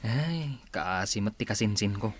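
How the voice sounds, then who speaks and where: A man's voice: on the low side, expressive, measured pace. A man playing a loyal, teasing young cousin and confidant in a radio drama, with a Filipino accent. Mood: sympathetic.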